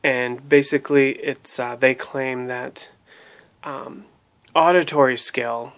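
A man's voice: medium pitch, fairly even intonation, slow pace. A man in his thirties giving a casual spoken review of a language course to his webcam in a small room at home, hesitating often with ums and restarts. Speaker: language learning vlogger. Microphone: webcam at desk.

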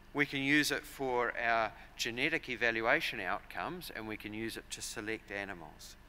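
A man in his forties with a New Zealand accent, giving a measured, explanatory conference talk at a lectern with frequent fillers and restarts. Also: on the low side, bright, forceful tone, a little hiss or hum. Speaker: conference speaker. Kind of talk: conference presentation. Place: large conference hall, at a lectern. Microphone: lectern microphones.

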